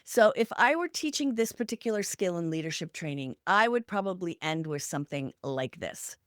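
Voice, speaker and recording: Female podcast host, lowish pitch, sincere and encouraging, deliberate phrasing, very dry sound. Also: animated, dry recording. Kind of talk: conversational podcast wrap-up, reflective advice.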